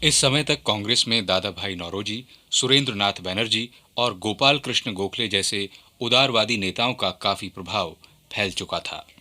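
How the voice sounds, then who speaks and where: A man's voice: fairly low pitch, normal speed, sharp tone. A man delivering scripted radio documentary narration in a formal, declamatory manner.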